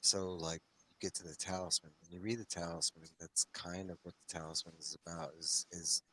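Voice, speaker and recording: A man with a low but bright, pressing voice talks casually as a show host. He explains at length in an enthusiastic, wandering way, doubling back on his own phrases. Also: little pitch movement, brisk, slight background noise. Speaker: host of a comics read-along discussion show. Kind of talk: casual discussion, rambling explanation.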